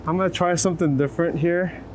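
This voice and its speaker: A man with a somewhat high voice speaks as a photographer directing a subject. His delivery is informal and encouraging, built on short repeated instructions. Faint street ambience and vehicles sit behind him. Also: fairly even intonation, fast talker. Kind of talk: casual chat while directing portrait subjects. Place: outdoors in a city. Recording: city street ambience and traffic noise.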